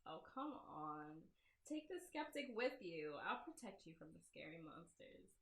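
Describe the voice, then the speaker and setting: A woman's voice: on the low side, very animated, unhurried, fairly bright tone, echoey room, pitched below the performer's own voice, full character voice. A woman voicing a teasing, laid-back teenage stoner boy for a visual novel, with a drawling manner.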